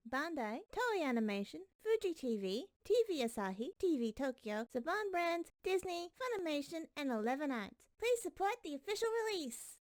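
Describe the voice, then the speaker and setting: A woman's voice: sits high, very animated, normal speed, few pauses, dry recording, studio quality. A woman voicing a little child who reads out a scripted disclaimer for a cartoon fan dub. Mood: reciting.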